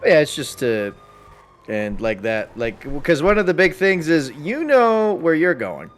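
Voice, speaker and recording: A man's voice: a little high, sing-song, measured pace. Talkative man chatting while gaming. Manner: talkative, explanatory, freely swearing. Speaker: gamer in a role-play server. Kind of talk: casual chat while gaming.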